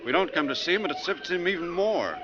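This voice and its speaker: A man's voice: sits high, expressive, fast talker, background noise. An American actor playing a talkative, nervy male bus passenger in 1940s radio drama style, speaking colloquially. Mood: uneasy. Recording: muffled 1940s radio recording.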